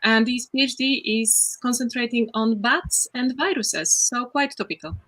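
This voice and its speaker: Bright-voiced young woman explaining. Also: on the high side, unhurried. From Poland. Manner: explanatory, with frequent "uh" fillers. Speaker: guest researcher. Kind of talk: casual interview talk.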